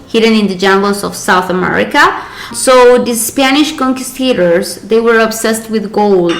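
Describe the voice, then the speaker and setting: A woman from Colombia, a guest on an interview, telling folk legends with lively, shifting pace. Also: a little high. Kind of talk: interview storytelling. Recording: roomy echo.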